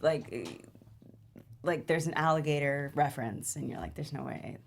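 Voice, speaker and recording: A woman's voice: sits low, moderate pace, vocal fry, a little hiss or hum. A woman giving feedback in a casual workshop discussion, informal and emphatic, repeating phrases to make her point. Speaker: panelist giving script notes at a table read.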